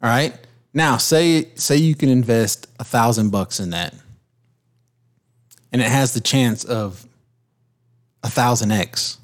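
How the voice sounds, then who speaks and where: A man with a middle-pitched voice hosts a casual podcast chat. He argues his point emphatically and cuts in often to push it. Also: slow pace, pauses often. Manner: emphatic, keeps interrupting to make his point. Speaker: podcast host.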